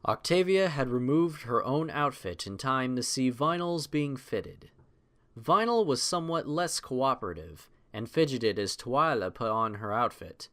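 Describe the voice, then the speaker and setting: A man reading a scripted story as narrator, in an expressive, literary delivery. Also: mid-range voice.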